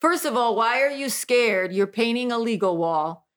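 A woman born in England, a guest telling stories in casual conversation, animated and often laughing. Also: a little high, normal speed. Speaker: guest graffiti artist. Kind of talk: casual podcast chat.

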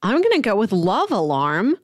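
A woman's voice: mid-range voice, wildly swooping pitch, moderate pace, no echo, clean studio sound. A woman in her thirties chatting casually as a podcast co-host. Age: 30s. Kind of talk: casual chat.

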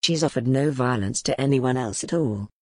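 A woman voicing a gentle, grave duke who speaks in a calm, measured way. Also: rumbling low, dry recording, studio quality, pitched below the performer's own voice. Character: gentle grave male duke, lord of the castle.

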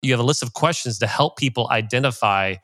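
Fast-talking man hosting a chatty interview. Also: mid-range voice, fairly bright tone, no room sound, studio quality. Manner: chatty, retells anecdotes with filler likes. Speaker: podcast host and coach. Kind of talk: casual interview talk.

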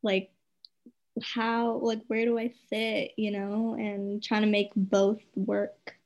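A woman's voice: mid-range voice, flat delivery, slow, a little mellow. A young woman of Habesha family raised in the United States, chatting casually and filling her speech with "like". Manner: chatty, heavy use of "like". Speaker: conversation partner. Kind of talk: casual conversation. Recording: muffled, dull sound.

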